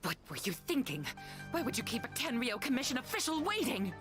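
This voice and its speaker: A woman's voice: a little high, very animated, fairly quick. An actress playing a stern woman of authority, harsh and scolding, in a game trailer with music behind her. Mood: angry, scolding. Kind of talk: English game trailer voice acting.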